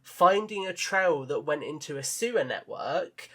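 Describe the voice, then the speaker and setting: A man's voice: a little high, sing-song, unhurried, sharp tone. A man talking as a podcast guest, explaining his ideas earnestly and with enthusiasm in casual conversation. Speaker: podcast guest and audio drama creator.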